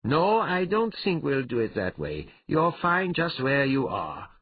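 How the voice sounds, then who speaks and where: Man playing a mock-polite, high-pitched wily thief. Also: sing-song, unhurried, breathy edge, no echo, narrow, tinny audio, full character voice. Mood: urbane mockery. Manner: mock-polite, urbane, taunting. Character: wily male adventurer thief, mocking, mildly urbane.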